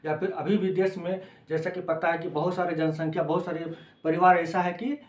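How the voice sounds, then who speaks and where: Male coaching teacher, Bihari accent, high-pitched voice, echoey room, simple explanatory lecture, repeats key phrases. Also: flat delivery, moderate pace. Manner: repeats phrases and checks understanding with short tags. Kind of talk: informal explanatory classroom lecture in simple words.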